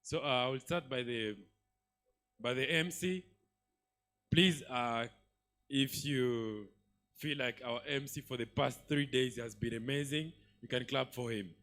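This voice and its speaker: A man with a Congolese accent and a medium-pitched voice speaks off the cuff to an audience. He moves haltingly from point to point as an attendee giving feedback. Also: a bit bright. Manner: halting, pausing between points. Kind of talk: impromptu public remarks.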